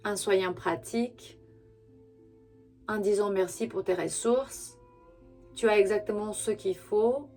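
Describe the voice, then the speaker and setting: A woman who is not a native French speaker gives an intuitive tarot reading straight to the listener, halting as she searches for words. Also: mid-range voice, gravelly, dark tone. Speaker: spiritual tarot reader and channel host.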